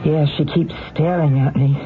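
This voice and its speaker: A woman's voice: sits low, steady tone, normal speed, slight background noise, natural voice. An actress in a 1970s radio drama playing an anxious, sleepless woman haunted during a trial, speaking in a nervous, hesitant way. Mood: uneasy, evasive. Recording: muffled, phone-like old radio broadcast.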